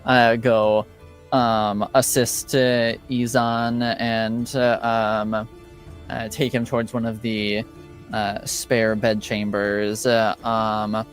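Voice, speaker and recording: A man narrating as game master of a tabletop game, halting and filled with pauses. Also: mid-range voice, little pitch movement, soft background music.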